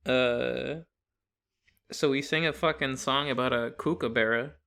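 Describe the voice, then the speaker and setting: A man's voice: medium pitch, steady tone, measured pace, gravelly, bright, forceful tone, clear, resonant tone. A young man co-hosting a podcast in casual comedic chat, rambling through his stories with plenty of swearing. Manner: joking, profane, rambling storyteller. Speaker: podcast co-host.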